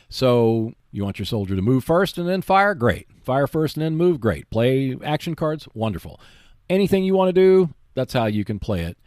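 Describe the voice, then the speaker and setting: Expressive man explaining in a dry studio sound. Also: fairly low pitch, no echo. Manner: explanatory, emphatic, reassuring. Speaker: host of a tabletop gaming show. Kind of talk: instructional tips talk on board wargaming.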